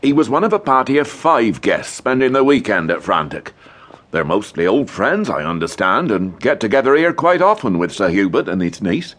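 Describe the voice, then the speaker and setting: An actor playing a chatty, deferential village police sergeant in a radio detective drama. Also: male voice, on the low side, sing-song, moderate pace, fairly bright tone. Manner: chatty, deferential, full of sirs.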